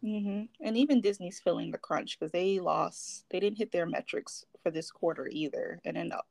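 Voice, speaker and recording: Gravelly-voiced woman, dry sound. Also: fairly low pitch, moderate pace, darkish tone, dry recording. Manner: exasperated, trailing off. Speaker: podcast co-host. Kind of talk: casual chat.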